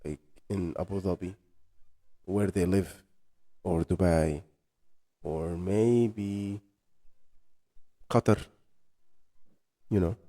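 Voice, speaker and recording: A man's voice: deep voice, fairly even intonation, extremely slow, long pauses, mellow. A man from Egypt with an Egyptian accent, speaking English as a second language while coaching a learner in casual conversation practice and telling a story about a friend. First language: Arabic. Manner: storytelling, quoting a friend, asks the learner questions.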